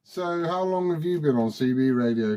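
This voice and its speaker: A man's voice: on the high side, animated, moderate pace, very noisy. A forty-year-old man, chatting casually and familiarly over CB radio. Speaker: CB radio operator asking questions. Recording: CB radio transmission.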